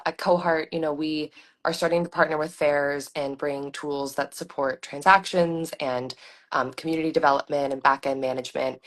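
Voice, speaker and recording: Woman, interview host, enthusiastic, "um" fillers, very low pitch.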